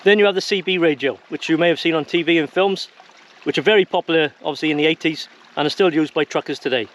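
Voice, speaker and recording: A man's voice: a little high, quick pace, fairly bright tone, dull audio, a little hiss or hum. A man presenting an informal how-to video to viewers in a plain-spoken, conversational way. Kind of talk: informal instructional talk to viewers.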